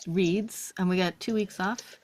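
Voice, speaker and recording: A woman's voice: on the low side, steady tone, measured pace, darkish tone, slight background noise. A woman narrating and hosting a script table read, moving between read stage directions and casual chat.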